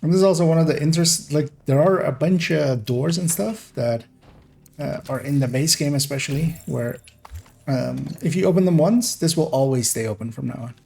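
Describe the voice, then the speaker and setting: Gravelly man with a mixed Iranian-Dutch accent. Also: a little high, moderate pace. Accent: Iranian mixed with American and Dutch English. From Iran. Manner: relaxed, rambling explanations with little laughs. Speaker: streamer. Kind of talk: casual gameplay commentary.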